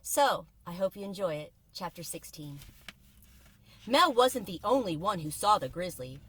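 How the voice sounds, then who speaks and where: A woman reading a children's book aloud from inside a car, with expressive, suspenseful dramatic narration like a teacher. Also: lowish voice, moderate pace, husky. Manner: expressive, suspenseful storytelling with varied volume.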